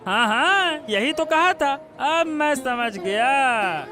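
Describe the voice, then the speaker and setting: A high, slow-talking cartoon fruit seller played by a man, chatty and curious. He repeats himself and exclaims, with music underneath. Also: lively intonation, pushed and bright, exaggerated voice. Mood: gossipy, surprised. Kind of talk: cartoon story dialogue.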